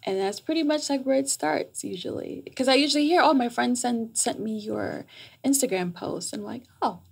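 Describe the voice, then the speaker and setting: Husky, creaky-voiced woman podcast guest. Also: on the high side, moderate pace, darkish tone. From Jamaica, raised in New York. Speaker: guest, psychotherapist. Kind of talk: conversational podcast interview. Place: small foam-treated podcast studio. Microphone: close boom-arm studio microphone.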